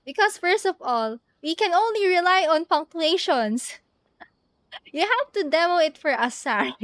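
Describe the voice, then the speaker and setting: A woman's voice: extremely high pitch, expressive, measured pace, fairly bright tone, no echo. A woman streamer thinking aloud in a casual, giggly way as she plays a video game live.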